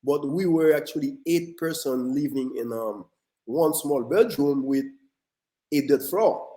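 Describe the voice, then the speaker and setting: A man from Haiti speaks with a Haitian accent, earnest and a little halting, restarting and repeating words. His tone is dark and mellow. The room around him is echoey. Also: a little high. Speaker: guest, motivational speaker. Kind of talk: interview, telling his life story.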